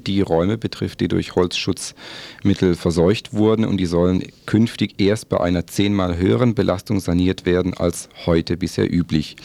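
Low, creaky-voiced male radio presenter. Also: dark tone, no room sound. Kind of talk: semi-scripted radio magazine presenting with ad-libbed explanations.

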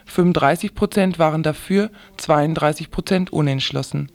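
High-pitched male radio news reader. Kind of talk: scripted news reading.

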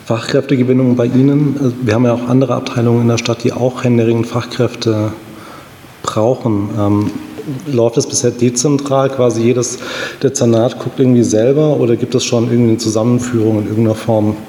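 A man's voice: mid-range voice, level tone, fairly quick, warm tone. A man putting an informal, somewhat tentative question as a committee member in a meeting. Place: large indoor meeting room. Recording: echoey meeting hall with background noise.

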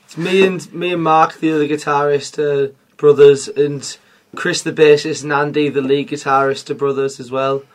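A man from England speaks in a fairly high voice. He is friendly and talkative, chatting easily through the interview. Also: steady tone, moderate pace. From North East England. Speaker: drummer. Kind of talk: interview chat.

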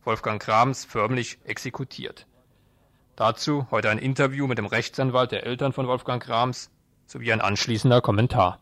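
A man's voice: mid-range voice, steady tone, moderate pace, a bit bright, no echo. A man presenting local radio news and putting questions to a guest as interviewer.

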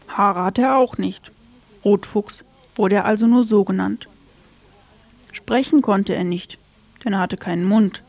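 Female radio presenter for scripted literary narration, measured storyteller, dry studio sound. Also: mid-range voice, a little mellow, dry recording.

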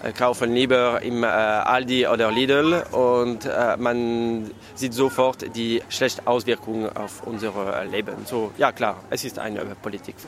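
A non-native man speaking haltingly and searching for words in simple phrases, interviewed at an outdoor market. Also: mid-range voice, steady tone, a little hiss or hum. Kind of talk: informal interview answer.

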